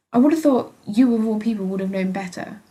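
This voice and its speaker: A woman's voice: mid-range voice, moderate pace, warm tone, close to an everyday voice. A British woman voicing a petulant, sarcastic young female hospital patient in a dramatic first-person story reading, exasperated and huffy. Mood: angry, defiant.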